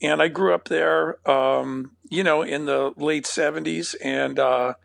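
A man in his fifties from Northern California, a saxophonist and podcast guest, answering in a thoughtful, halting way. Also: on the high side, steady tone, gravelly, fairly bright tone, no room sound, clean studio sound. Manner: thoughtful, halting, many fillers and restarts. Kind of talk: conversational interview.

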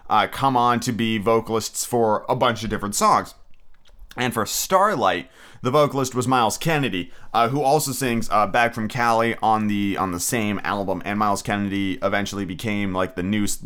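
A man's voice: on the low side, fairly bright tone, clear, resonant tone. A man hosting his own podcast in a casual, rambling solo chat, full of fillers and restarts. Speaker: podcast host.

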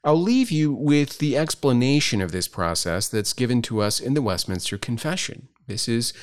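Fifty-something male pastor, middle pitch, lively and measured, answering questions with gentle humor. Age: 50s. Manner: measured, explanatory, lightly humorous.

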